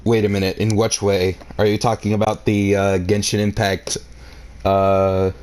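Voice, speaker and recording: A man's voice: on the low side, monotone, normal speed, a little mellow, a little hiss or hum. A man reading a story aloud as a comedic reader, adding exasperated, sarcastic commentary in a theatrical way.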